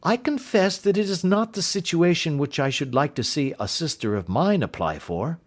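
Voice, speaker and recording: A man voicing a sharp, confident detective in an audiobook reading, speaking emphatically in a raised voice. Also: on the high side, animated, normal speed, pitched above the performer's own voice. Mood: emphatic. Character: sharp, confident male detective, keen and assured.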